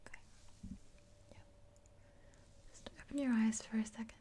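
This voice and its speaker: A woman in her twenties whispering softly and gently in a rambling, casual ASMR roleplay, close to the mic. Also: a little high, fairly even intonation, moderate pace. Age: 20s. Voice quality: breathy whisper. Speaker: ASMR roleplayer as makeup artist.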